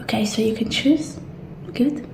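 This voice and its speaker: A woman doing a soft-spoken ASMR roleplay as an assistant, often dropping into a whisper, with gentle, reassuring guidance. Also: fairly high pitch, unhurried, gravelly. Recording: roomy echo, faint background noise.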